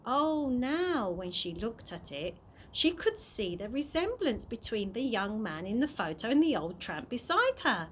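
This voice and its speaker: A woman reads a story aloud for children. Her voice is on the high side, with lots of rise and fall. A little noise sits behind her. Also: very animated, unhurried, poor audio. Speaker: early years practitioner reading stories to children. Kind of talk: storybook narration, read aloud.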